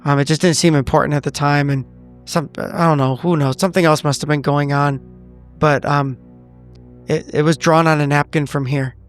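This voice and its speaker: A man's voice: a little high, fairly even intonation, normal speed, soft background music, lightly acted. A man voicing a shaken, evasive veteran agent in a tabletop roleplay, stammering and repeating himself. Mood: troubled, defensive. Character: shaken, evasive male veteran agent, smoking, guiltily confessing. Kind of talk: improvised in-character roleplay.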